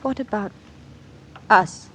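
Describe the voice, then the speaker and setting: An actress playing a neglected, quietly hurt wife in an old radio drama, restrained and gently probing. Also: female voice, takes it slowly. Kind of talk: mid-century radio drama acting. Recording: old radio recording, faint noise.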